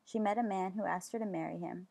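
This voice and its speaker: A young woman from Los Angeles reading a calm, measured documentary narration about her family. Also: mid-range voice, vocal fry, no room sound. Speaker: student filmmaker narrating.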